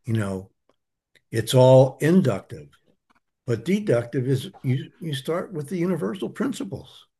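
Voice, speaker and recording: Husky earnest male teacher. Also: medium pitch, sing-song, measured pace, mellow. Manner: earnest, emphatic, repeats key phrases. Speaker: teacher of a study group. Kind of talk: answering questions in a spiritual study session.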